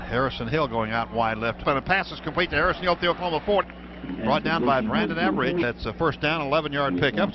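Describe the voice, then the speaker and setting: An American man calling live football play-by-play on the radio from a stadium booth. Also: medium pitch. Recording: dull, noisy old radio broadcast.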